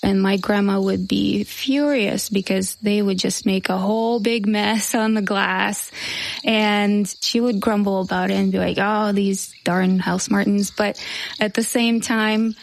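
A woman's voice: mid-range voice, steady tone, measured pace, creaky. A woman from Ukraine, a wildlife conservationist, fondly telling a childhood memory. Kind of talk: personal storytelling interview.

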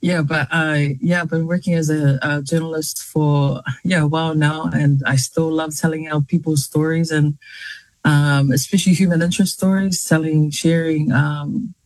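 A woman's voice: low voice, steady tone, normal speed, a little mellow, dry recording. A woman in her thirties with a New Zealand accent, a journalist chatting as a guest on a talk show, full of fillers and easy laughs. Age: 30s. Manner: chatty, laughs often, many fillers and restarts. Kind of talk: casual interview chat.

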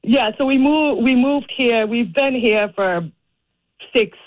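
Boston lady on the phone, earnest, lots of ums, tinny sound. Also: a little high. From Boston area. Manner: earnest, halting, with many fillers. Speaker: congressional candidate, radio guest. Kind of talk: casual radio interview. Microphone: phone call.

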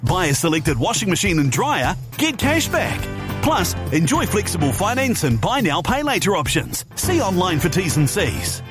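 Lively high-pitched ad voice-over man. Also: moderate pace, over music. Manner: punchy promo delivery. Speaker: station and ad voice-over. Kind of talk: scripted ad read.

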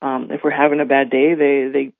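A man from North Dakota, his voice a little hoarse, telling stories in a radio interview and often asking "right?". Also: medium pitch, flat delivery, a little fast, dry recording. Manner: warm storytelling with many fillers and "right?". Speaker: guest. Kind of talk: radio interview, casual talk. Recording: phone-like radio line.

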